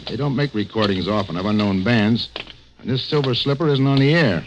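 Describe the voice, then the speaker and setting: A man's voice: lowish voice, fairly quick, dull audio, background noise, close to an everyday voice. Man playing brusque 1940s radio police detective. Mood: reasoning, steady. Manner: clipped, commanding, slangy. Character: tough, streetwise adult police detective, brusque but loyal. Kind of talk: 1940s radio crime drama acting. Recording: vintage 1940s radio recording with music behind.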